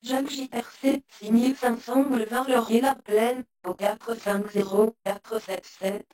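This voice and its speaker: A woman's voice: on the high side, steady tone, measured pace, dark tone. A woman reading a short scripted business announcement in French as the shop's announcer. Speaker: announcer for a radio shop.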